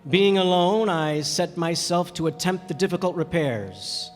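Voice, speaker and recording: A man playing a stranded pilot who recounts his desert encounter in measured, thoughtful radio drama narration. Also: sits high, lively intonation. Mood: earnest, wondering. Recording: echoey hall, music behind.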